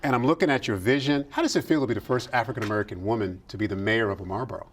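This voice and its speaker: A man hosting a casual interview show, effusive and quick to affirm his guest. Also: on the low side, a little hiss or hum. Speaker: talk show host.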